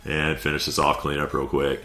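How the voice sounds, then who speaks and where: Stuffy very deep-voiced game commentator. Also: male voice, completely flat delivery, moderate pace, pushed and bright, faint music behind. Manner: laid-back, conversational, with light chuckles. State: stuffy from a head cold. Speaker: clan war recap commentator. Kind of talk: casual video game play-by-play voice-over.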